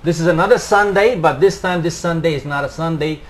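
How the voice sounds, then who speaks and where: A man hosting a TV interview show, opening with a lively scripted welcome and then asking relaxed questions. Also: fairly high pitch, a little fast. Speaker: TV talk show host. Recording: faint background noise.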